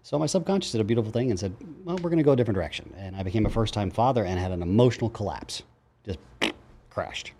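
A man's voice: fairly low pitch, racing, slight background noise. A man in his fifties, a psychologist guest, telling his life story in casual interview chat and acting out the voices of people he quotes. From grew up in Japan and Germany. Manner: animated storyteller, acts out quotes.

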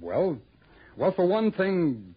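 An American actor playing an indignant, defensive rest-home proprietor in a mid-century radio drama, husky, blustering and halting under pressure. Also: male voice, high voice, very animated, exaggerated voice. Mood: defensive, flustered. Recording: dull old radio recording.

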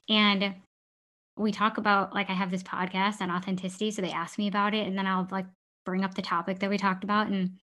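Animated woman podcast host. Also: mid-range voice. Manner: quick, animated, laughing often. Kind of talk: casual conversational chat.

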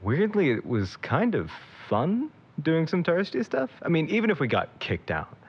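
A man's voice: fairly high pitch, huge pitch swings, moderate pace, slightly rough, dull audio, heavily acted. A man playing an easygoing, sceptical travelling companion in an audio drama, casual and understated. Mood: relaxed, doubtful.

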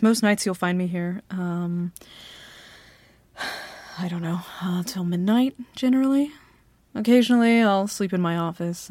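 A woman playing a slow, teasing bossy female CEO. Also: fairly low pitch, no room sound. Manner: soft, hesitant with many fillers, teasing. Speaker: ASMR roleplay performer. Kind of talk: flirty ASMR roleplay.

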